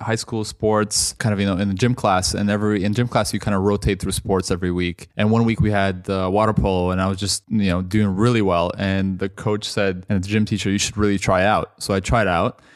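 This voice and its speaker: A man in his thirties who grew up in Canada, a guest telling his story in a relaxed way and laughing often. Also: on the low side, brisk, hardly any pauses, no room sound, studio quality. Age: 30s. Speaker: guest, entrepreneur. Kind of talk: casual interview. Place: London.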